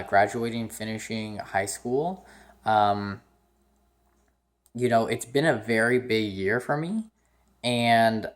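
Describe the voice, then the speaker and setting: A nineteen-year-old man from the United States giving a casual, rambling vlog update, full of ums and restarts. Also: medium pitch. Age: young adult. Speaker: YouTuber.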